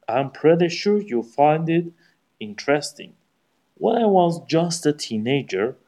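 A man from Iran with an Iranian accent, speaking English as a second language and giving a prepared speech in formal, deliberate phrasing. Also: medium pitch, mellow. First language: Persian. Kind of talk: practice speech, memorized.

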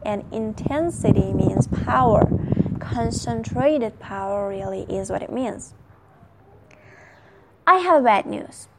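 A woman's voice: mid-range voice, lively intonation, slow pace, long pauses, noisy background. A woman whose first language is Turkish, outlining an English lesson in an emphatic way and often checking in with "okay?". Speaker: English teacher.

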